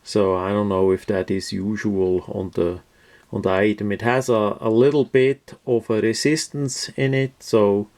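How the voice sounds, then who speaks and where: A man who is not a native English speaker gives a casual, unscripted product overview as a reviewer, in a halting, matter-of-fact way with many pauses. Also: fairly low pitch, fairly even intonation, a little mellow.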